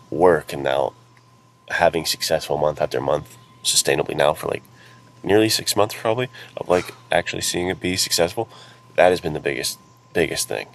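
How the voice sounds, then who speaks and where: An extremely low male voice with some creak, speaking as a podcast co-host. He is informal, earnest and enthusiastic in his phrasing. Faint ambient noise sits behind him. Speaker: podcast co-host, author and publisher. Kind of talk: casual podcast chat.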